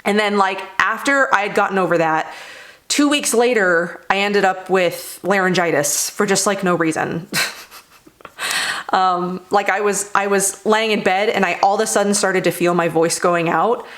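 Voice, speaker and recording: A young woman vlogging about skincare in a rambling, casual way with lots of fillers, her voice slightly hoarse after laryngitis. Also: mid-range voice, moderate pace, fairly bright tone. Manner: rambling, many fillers like "like" and "you know". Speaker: beauty vlogger. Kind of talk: casual product review chat.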